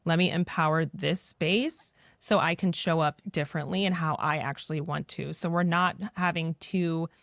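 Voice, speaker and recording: A woman's voice: sits low, fairly even intonation, moderate pace, vocal fry, hardly any pauses, fairly bright tone, no room sound, clean studio sound. A woman hosting a podcast, speaking earnestly and reflectively and asking guiding questions. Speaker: podcast host.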